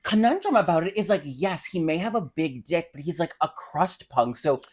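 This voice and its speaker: A flamboyant American man co-hosts a casual comedy podcast. His delivery is camp and theatrical, and his pitch leaps around with lively, animated swings. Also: normal speed. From American Midwest. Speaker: podcast co-host. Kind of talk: casual comedic chat about fiction.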